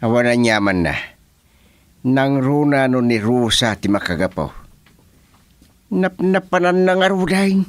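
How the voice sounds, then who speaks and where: A man playing a steady friend who gives advice in a radio drama, deliberate and mildly exasperated. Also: mid-range voice, no room sound, lightly acted. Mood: concerned.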